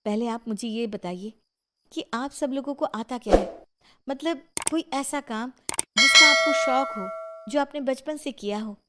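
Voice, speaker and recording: A woman's voice: fairly high pitch, unhurried, heavy background noise, close to an everyday voice. A woman voicing a defiant, determined young city-bred daughter-in-law in a moral story, speaking firmly and resolutely. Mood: encouraging, determined. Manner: firm, resolute. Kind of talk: Hindi moral story character acting.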